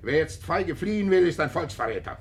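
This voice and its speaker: An actor playing a blustering, suspicious Nazi-era farm official in a 1950s German radio play. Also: male voice, fairly high pitch, expressive, a little fast, creaky, slight background noise. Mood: fanatical, loud. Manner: blustering, officious, insistent questioning.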